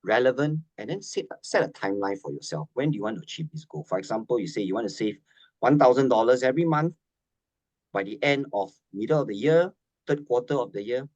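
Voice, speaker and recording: A man's voice: mid-range voice, expressive, fast talker, darkish tone, no room sound, muffled recording. Methodical man, dry sound. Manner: methodical, listing points. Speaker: financial specialist. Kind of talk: webinar presentation.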